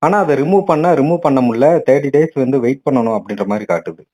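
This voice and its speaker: Mellow, dark-voiced Tamil male caller. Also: medium pitch, animated, fast. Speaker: customer calling support. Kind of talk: phone conversation, describing a problem.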